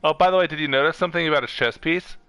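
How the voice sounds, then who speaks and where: A man's voice: on the high side, rapid-fire, bright, forceful tone, clean tone, dry recording. A man streaming a video game and chatting casually with a friend in a rambling, sweary way. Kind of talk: casual gaming chat with a friend over voice chat.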